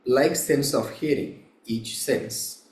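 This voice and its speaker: A man guiding a meditation as a teacher, speaking in measured, halting short phrases with long pauses between them. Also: mid-range voice, a little mellow. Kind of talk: slow guided meditation instruction. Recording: roomy, echoing room sound.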